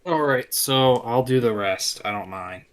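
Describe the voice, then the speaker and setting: A man's voice: medium pitch, unhurried. A young man as guest co-host in a casual chat, easygoing and hedging his opinions.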